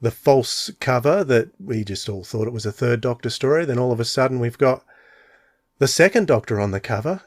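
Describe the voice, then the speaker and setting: A man's voice: mid-range voice, lively intonation, fairly quick, no echo, clean studio sound. A man hosting a casual interview, chatting and putting questions to a guest. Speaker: interview podcast host.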